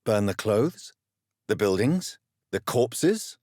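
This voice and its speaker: A very deep, husky gentleman doctor for a video game. He is grave and educated, stern yet courteous. His formal, articulate lines move expressively up and down, recorded completely dry. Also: very animated, no echo. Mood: accusing. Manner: formal, measured, articulate diction. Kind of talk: dramatic video game dialogue.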